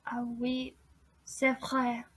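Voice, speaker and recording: Woman as a high-voiced, timid little prince. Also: monotone, extremely slow, a bit bright, heavily acted. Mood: timid, hopeful. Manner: hesitant, timid. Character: shy, anxious young prince, a small boy. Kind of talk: radio play acting.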